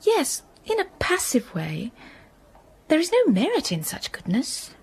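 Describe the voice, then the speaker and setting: An actress voicing a patient, pious young schoolgirl in a radio drama, calm and gentle. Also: sits high, unhurried, heavily acted. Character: patient pious young girl pupil Helen.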